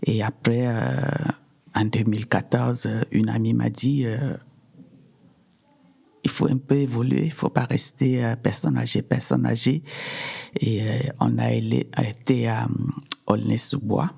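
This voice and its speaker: A middle-aged woman, giving personal testimony in a halting way, often repeating words. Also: rumbling low, little pitch movement, creaky, mellow, husky. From Cameroon. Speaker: podcast guest.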